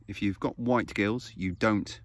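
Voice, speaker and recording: A man's voice: fairly low pitch, measured pace, no echo. A man in his thirties hosting a foraging vlog outdoors on a grassy hill, explaining things casually with fillers as he films himself on a phone held close. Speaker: foraging channel host. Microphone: phone camera held close.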